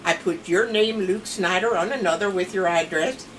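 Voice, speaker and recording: A woman's voice: fairly low pitch, normal speed. Woman in her seventies, Pennsylvania Dutch accent, chatty rambling storyteller, distant mic, noisy background. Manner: chatty, rambling, laughs between stories. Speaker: grandmother being interviewed. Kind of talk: casual family storytelling.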